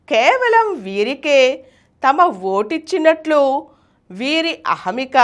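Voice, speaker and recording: Female poetry reader and presenter: high voice, animated intonation, declamatory scripted delivery. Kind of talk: expressive scripted poetry recitation and commentary.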